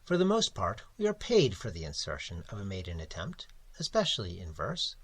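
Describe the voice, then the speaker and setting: A man's voice: low-pitched, huge pitch swings, fairly quick, a bit bright, breathy edge, voice pushed down, full character voice. Man putting on a fussy, wheedling old editor. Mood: bland, wheedling. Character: elderly male magazine editor, bland, evasive, wheedling.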